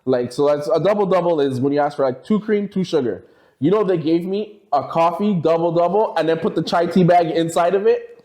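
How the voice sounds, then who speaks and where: A man's voice: a little high, brisk, warm tone. A man of Trinidadian background, a podcast guest, telling stories in a laid-back way. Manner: laid-back storytelling, laughs easily. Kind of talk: casual podcast chat.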